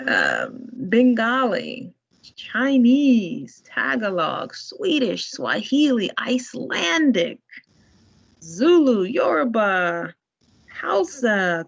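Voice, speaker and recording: A woman from the library staff demonstrating a language database in an online tutorial, in a relaxed, chatty way. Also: a little high, extremely slow, creaky, breathy edge. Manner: relaxed, chatty, laughs often. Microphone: video call. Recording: muffled online meeting audio.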